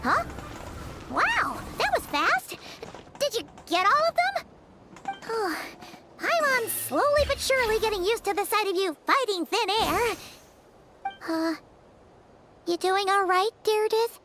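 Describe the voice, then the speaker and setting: A woman's voice: sing-song, measured pace, long pauses, sharp tone, full character voice. A woman voicing a small, childlike fairy companion in a video game, chirpy, curious and earnest. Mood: worried. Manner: refers to self in third person, squeaky and earnest. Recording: music playing behind.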